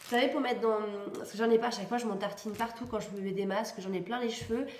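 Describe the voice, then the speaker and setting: A woman's voice: a little high, steady tone, fast, roomy sound, a little hiss or hum. Chatty woman with a stuffy cold. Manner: chatty, rambling, self-correcting, laughs often. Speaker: beauty vlogger. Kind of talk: casual product-testing vlog.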